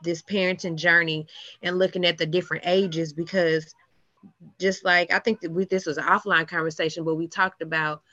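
A woman chatting casually as a podcast co-host, telling stories in a loud, lively way. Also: fairly low pitch, normal speed, dry recording. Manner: self-described loud speaker, lively storytelling. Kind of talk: casual chat. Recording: muffled lo-fi audio.

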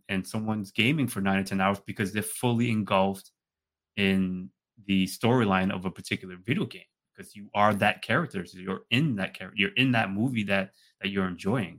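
A man co-hosting a podcast, chatting casually and telling stories in an animated way, often repeating his phrases. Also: on the low side. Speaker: podcast co-host.

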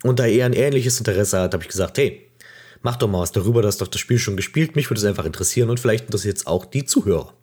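Husky-voiced man with a cold. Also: fairly low pitch, animated, racing, darkish tone. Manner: chatty, playful, many fillers. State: has a cold, croaky voice. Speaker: podcast host. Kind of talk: casual podcast talk.

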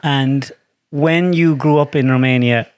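A man's voice: medium pitch, steady tone, a little slow, clear, resonant tone, dry recording. A man hosting a podcast, asking friendly, encouraging questions. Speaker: podcast host. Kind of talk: casual interview.

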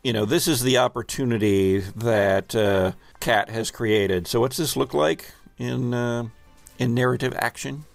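Slow, creaky-voiced man running a game. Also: medium pitch, dry recording, faint music behind. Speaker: game master and host. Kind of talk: narrating a tabletop roleplaying game.

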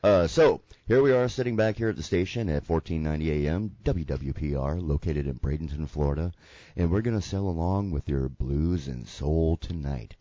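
A man's voice: rumbling low, normal speed, dark tone, no echo, muffled recording. A man from the American South hosting a casual radio talk show, joking and rambling, a bit congested. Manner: joking, rambling, laid-back. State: congested from sinuses. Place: radio station studio.

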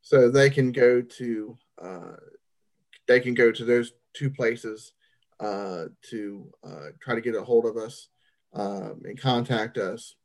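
A man with a medium-pitched voice speaks as an interview guest. His answers are casual and come out haltingly, with repeated phrases.

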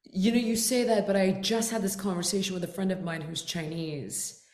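A woman's voice: mid-range voice, fairly even intonation, moderate pace, a little mellow, echoey room. A woman from the United States, a fitness expert who is a guest on a casual interview, talking candidly and with animation, sometimes dropping to a whisper for quoted asides.

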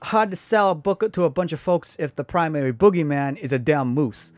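A man's voice: fairly high pitch, fairly quick, a little mellow, no room sound, close to an everyday voice. A man voicing an indignant, foul-mouthed devil moose with Brooklyn swagger and lisped R sounds, sarcastic and condescending in a comedy sketch. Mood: pompous, aggrieved.

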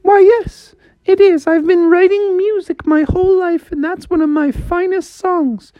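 An adult storyteller voicing a proud, greedy snow woman who sings, in a shrill, squealing put-on voice during an improvised children's story. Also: fairly even intonation, a little slow, no echo, dull audio.

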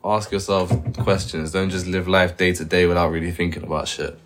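Deep-voiced young man, blunt. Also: little pitch movement, a little fast. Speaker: podcast co-host. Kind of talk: casual podcast chat.

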